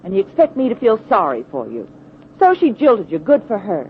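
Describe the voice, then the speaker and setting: An actress with an American accent playing a sharp-tongued, jealous detective's sidekick, teasing and sarcastic in 1940s radio style. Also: female voice, mid-range voice, huge pitch swings, brisk. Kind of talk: 1940s radio drama acting. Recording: dull, hissy old radio recording.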